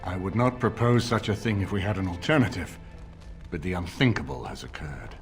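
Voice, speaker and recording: Low male voice, grave nobleman, measured formal speech, varied intonation, video game drama. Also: animated, faint music behind. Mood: grave, resolute. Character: grave older nobleman lord rallying allies against a usurper.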